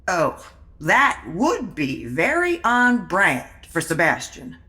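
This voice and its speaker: A woman's voice: lowish voice, wildly swooping pitch, pushed and bright, full character voice. A woman playing a coolly observant, sardonic manor guest in a comedy audio drama. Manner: sardonic, deliberate.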